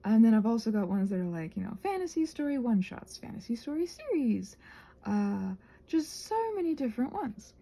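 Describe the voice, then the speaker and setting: A woman with a fairly high, mellow voice chats in a casual ASMR ramble. She thinks aloud softly and meanders, poking fun at herself, with a noticeable fry in her speech. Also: big pitch swings, fairly quick. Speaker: ASMR creator rambling while crafting.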